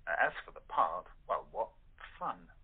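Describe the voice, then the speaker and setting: Low-voiced Englishman on a phone line. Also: sing-song, moderate pace, slightly rough. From England. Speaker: actor. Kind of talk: casual interview chat. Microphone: telephone or internet call.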